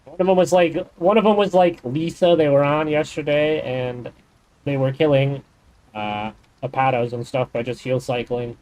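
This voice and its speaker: A man's voice: medium pitch, steady tone, normal speed, a little mellow, poor audio. A man streaming a game, chatting casually and explaining the game's mechanics in detail. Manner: rambling, thinks aloud. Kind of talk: casual gaming chat.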